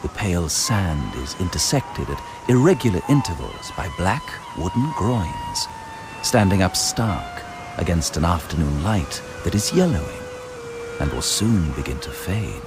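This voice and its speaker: A man playing a grave reader of a bleak ghost story, narrating in a measured, literary and atmospheric way. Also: deep voice, sing-song, music in the background, exaggerated voice. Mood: sombre.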